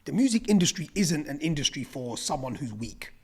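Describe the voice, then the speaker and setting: A young London host with a slightly husky, somewhat high voice for a man runs a casual podcast interview. His pitch swings up and down a lot as he banters in easy street slang. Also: big pitch swings, fairly quick, darkish tone. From Newham, East London.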